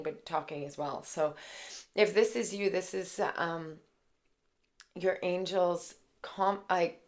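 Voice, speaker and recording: A woman with a raspy voice giving a casual, chatty tarot reading, full of fillers and warm asides to her viewers. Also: lowish voice. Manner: gushing, chatty, many fillers like "like" and "okay". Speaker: tarot reader and intuitive.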